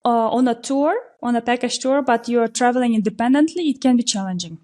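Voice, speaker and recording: A woman from Kyrgyzstan speaks as a podcast guest, a local tour operator. Her voice sits fairly high and sounds mellow. She explains things patiently, with plenty of "uh" and "like" along the way. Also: fast. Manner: explanatory, many fillers, steady flow. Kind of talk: conversational interview answers. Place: podcast recording.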